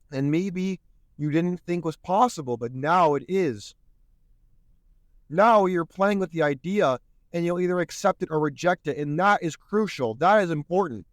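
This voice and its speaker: A man's voice: on the high side, measured pace, no echo, clean studio sound. A man hosting a solo podcast, speaking earnestly and a bit preachily while he is losing his voice. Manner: earnest, preachy, repeats "my friends". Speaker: podcast host.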